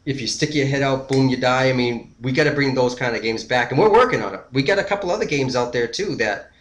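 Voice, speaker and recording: A man's voice: mid-range voice, lively intonation, fast talker, roomy sound. A man with a Boston accent co-hosting a casual gaming chat, enthusiastic and emphatic. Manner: enthusiastic, emphatic, repeats and finishes the other host's points. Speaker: gaming podcast co-host.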